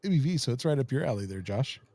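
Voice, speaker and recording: A man's voice: medium pitch, very animated, quick pace, warm tone. A man hosting a podcast with casual, conversational banter. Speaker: podcast host.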